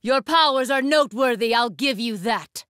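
A woman's voice: fairly high pitch, expressive, moderate pace, a bit bright, clear, resonant tone, no echo, clean studio sound. An actress playing an imperious, ruthless young woman warrior, speaking in formal, haughty declamations for an anime fighting game. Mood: cold, haughty.

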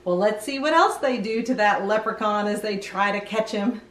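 A middle-aged woman hosting a children's read-aloud, chatting in an animated, sing-song way to young viewers. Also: mid-range voice, normal speed, reverberant. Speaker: children's storytime host, grandmother. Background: music.

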